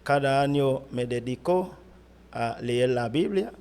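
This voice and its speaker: A middle-aged Haitian man, a pastor whose first language is Haitian Creole, speaking Spanish haltingly with a Haitian accent in an interview. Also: mid-range voice, animated, darkish tone, smooth. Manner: halting, searching for words in Spanish. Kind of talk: interview answers.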